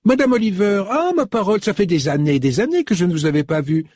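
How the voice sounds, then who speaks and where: Lively welcoming middle-aged woman, high voice, husky, dry, as a male reader puts her on. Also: quick pace, no room sound. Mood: effusive. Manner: effusive, welcoming.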